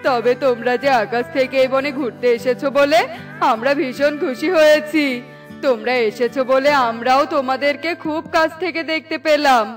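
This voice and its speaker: A woman's voice: high voice, normal speed, faint music behind, close to an everyday voice. A woman voicing a talkative, blunt forest bird in a children's cartoon, with an emphatic delivery. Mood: proud, welcoming.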